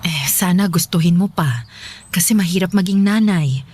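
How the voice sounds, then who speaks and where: A caring middle-aged mother in a Filipino radio drama. Her voice is a little low, and she speaks with motherly concern. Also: moderate pace, a little mellow, breathy edge.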